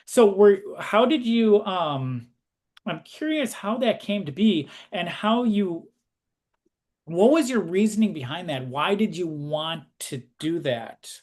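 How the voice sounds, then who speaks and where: A man with an American accent interviewing casually, feeling his way haltingly through rephrased questions. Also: high-pitched, expressive, a little mellow.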